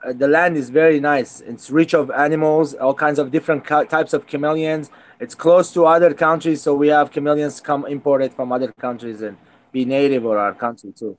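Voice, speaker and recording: A man from Lebanon with a Lebanese accent, chatting casually as a guest and halting as he searches for words. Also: a little high. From Beirut, Lebanon. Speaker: guest reptile keeper. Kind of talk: casual reminiscing in conversation.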